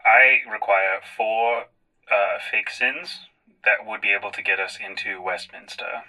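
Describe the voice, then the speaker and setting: A man's voice: fairly low pitch, measured pace, pushed and bright, dry recording, rough recording. A man playing in a tabletop game, improvising in character with precise, formal wording broken up by swearing. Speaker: tabletop podcast player.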